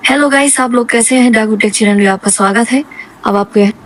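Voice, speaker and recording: A man voicing a girl through a pitched-up voice-changer effect, greeting people as in a voice note. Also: brisk, fairly bright tone, slight background noise. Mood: plain greeting. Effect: girl voice filter, pitched up.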